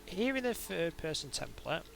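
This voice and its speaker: A man narrating an informal software tutorial in a hesitant, halting way, as if thinking aloud. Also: a little high, sing-song, gravelly, background noise. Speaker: tutorial instructor.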